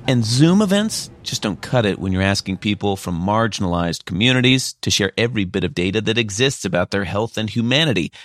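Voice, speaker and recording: A man delivering a scripted radio news report in a measured, even way. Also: lowish voice, dry recording, clean studio sound.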